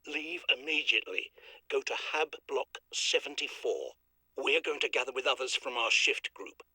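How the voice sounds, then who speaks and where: An actor playing a worried middle-aged father leaving earnest, husky audio messages for his son in a sci-fi game. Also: mid-range voice, sharp tone, no echo, exaggerated voice, rough recording. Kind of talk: dramatic sci-fi audio log.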